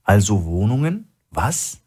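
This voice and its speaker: Guy playing a big blunt sceptical operative in a thriller audiobook, husky voice. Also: lowish voice, sing-song, takes it slowly, a little mellow, full character voice. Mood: blunt, wary.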